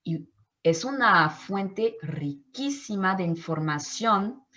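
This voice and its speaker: A woman's voice: medium pitch, lively intonation, slow, sharp tone. Martinican woman, French native, interview guest, reflective, searching for words, dull lo-fi sound. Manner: thoughtful, searching for words, frequent restarts. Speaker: anthropologist guest.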